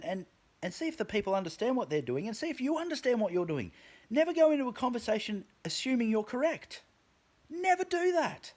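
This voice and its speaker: Fiery, swearing man ranting with wide pitch swings. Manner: emphatic, heavy swearing, stumbling restarts. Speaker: vlogger. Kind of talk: profane opinion rant to camera.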